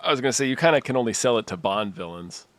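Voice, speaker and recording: A man of middle pitch tossing in wry jokes on a podcast, often with a chuckle. The sound is dry and close. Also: animated, very fast, fairly bright tone, no echo. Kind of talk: casual podcast chat.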